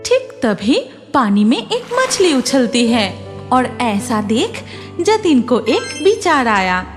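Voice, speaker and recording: A woman narrates a children's cartoon tale in a high, lively voice with wide swings of pitch. She takes her time over each sentence, and music plays clearly behind her. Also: slow. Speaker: story narrator.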